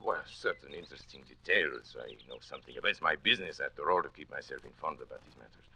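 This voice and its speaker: An actor playing a suave, menacing master criminal in a 1940s radio drama, speaking with deliberate, theatrical and mockingly polite delivery. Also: male voice, extremely low pitch, lively intonation, creaky, sharp tone, husky, full character voice. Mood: smooth mockery. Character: suave, menacing male master criminal, boastful confessing narrator. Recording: dull, noisy old radio recording.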